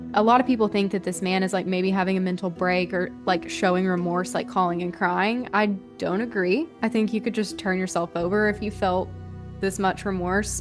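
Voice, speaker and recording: Woman casually telling a true-crime story. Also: medium pitch. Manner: casual, colloquial phrasing with frequent "like". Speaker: podcast host.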